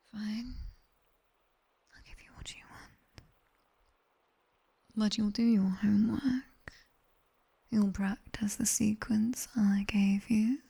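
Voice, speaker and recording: A British woman playing a strict, teasing, seductive demoness tutor in an intimate ASMR roleplay, with breathy whispers and a condescending yet coaxing delivery, close on a binaural mic. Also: medium pitch, mellow, exaggerated voice. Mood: seductive, commanding. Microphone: close binaural microphone.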